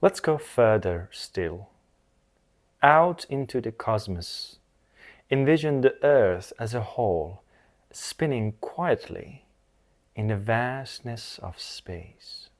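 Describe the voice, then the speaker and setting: A man leading a scripted guided meditation in a soothing, measured manner, speaking in short phrases with long pauses. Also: mid-range voice, animated.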